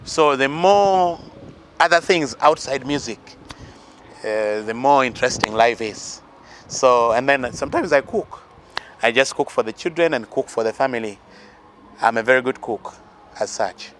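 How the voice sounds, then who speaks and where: A man's voice: mid-range voice, moderate pace, a bit bright, a little hiss or hum. A musician from northern Uganda with a Ugandan accent, earnestly giving life advice and talking about his influences in an interview, with many fillers and restarts. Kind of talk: interview answers.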